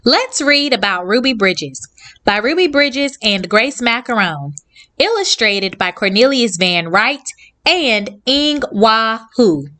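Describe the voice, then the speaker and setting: A woman hosting a children's read-along, reading aloud and addressing young listeners in simple, clearly phrased sentences. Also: a little high, animated, slow pace, bright, forceful tone.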